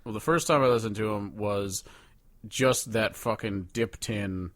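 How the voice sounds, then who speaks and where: A man's voice: on the low side, measured pace, a crowd in the background. A man co-hosting a podcast in casual chat, blunt, exasperated and foul-mouthed. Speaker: podcast co-host.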